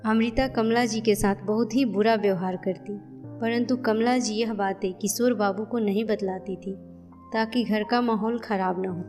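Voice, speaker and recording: A woman's voice: medium pitch, fairly even intonation, moderate pace, darkish tone. A woman reading scripted narration for a cartoon family story, with music behind her.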